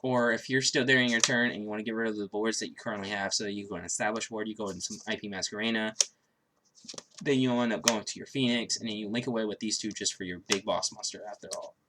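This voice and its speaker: A man's voice: on the low side, steady tone, fast talker, noisy background. A man who is a little sick, with a stuffy nose and sore throat, casually walking through a card game deck, rambling with lots of fillers. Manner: rambling, filler-heavy, restarts often.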